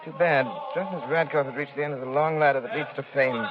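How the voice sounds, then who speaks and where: An actor playing a wealthy, cultured, reflective amateur sleuth in an urbane manner in a 1930s radio drama. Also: male voice, fairly high pitch, steady tone, fast talker, muffled recording, close to an everyday voice. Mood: thoughtful. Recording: band-limited 1930s radio recording with music.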